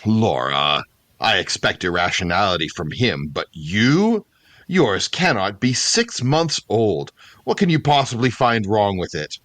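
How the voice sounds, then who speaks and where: Low, curt, stern morgue keeper played by a man. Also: very animated, measured pace, a bit bright, no echo. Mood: grudging, stern. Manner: curt, formal, scornful. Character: irritable, guarded male morgue keeper, stern and scornful. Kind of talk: audio drama acting.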